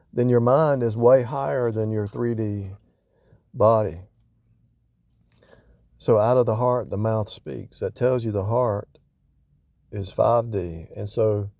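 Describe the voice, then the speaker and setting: A man's voice: mid-range voice, warm tone, no echo, studio quality. A man giving casual, off-the-cuff spiritual teaching in a rambling way, repeating and rephrasing himself for emphasis. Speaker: religious vlogger.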